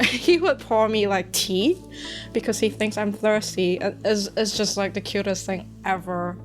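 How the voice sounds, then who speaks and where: A woman in a casual interview tells fond stories in a chatty way, with plenty of fillers. Her pitch is ordinary, and faint music plays underneath. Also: measured pace. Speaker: interviewee, English teacher abroad.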